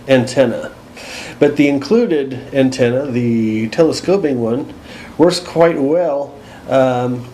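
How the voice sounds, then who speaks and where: A man's voice: mid-range voice, dark tone, a little hiss or hum, rough recording. A man with an American accent giving a casual, plain-spoken, unscripted walkthrough as a demonstrator, recorded indoors. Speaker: host demonstrating a radio. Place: indoors at home.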